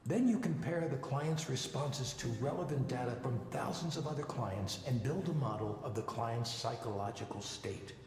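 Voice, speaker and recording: An actor playing a confident male psychologist giving a measured, didactic conference talk in a visual novel game. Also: mid-range voice, darkish tone, a little hiss or hum, close to an everyday voice. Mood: measured, instructive. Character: confident male psychologist, former tech lead, lecturing an audience. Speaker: conference speaker. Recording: echoey hall reverb, faint music behind.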